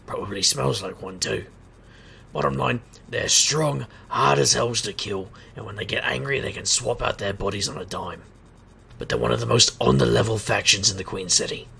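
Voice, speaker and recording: Male actor, wisecracking magician private eye, heavy vocal fry, sharp edge, sardonic audio drama narration. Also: medium pitch, normal speed, heavily acted. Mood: wry. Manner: sardonic, wry. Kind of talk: noir-style first-person narration and dialogue in audio drama.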